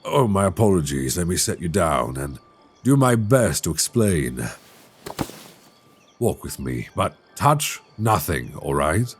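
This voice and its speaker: A man playing an ancient dragon king in an intimate ASMR roleplay, tender and wry, with courtly, teasing phrasing and a husky voice. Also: low-pitched, very animated, slow pace, slight background noise, heavily acted. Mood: gentle, explaining. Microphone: close binaural microphone.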